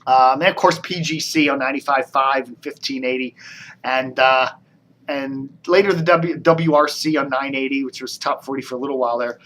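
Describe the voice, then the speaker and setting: A man's voice: mid-range voice, steady tone, moderate pace, fairly bright tone. An American man giving casual, rambling commentary as a video blogger, with a wry, mildly sarcastic manner.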